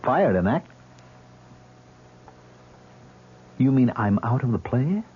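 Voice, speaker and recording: Man acting as wounded, defensive stage actor, low voice, radio drama, tinny noisy old broadcast. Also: very animated, moderate pace, natural voice. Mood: shocked, indignant. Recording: muffled, hissy old radio broadcast recording.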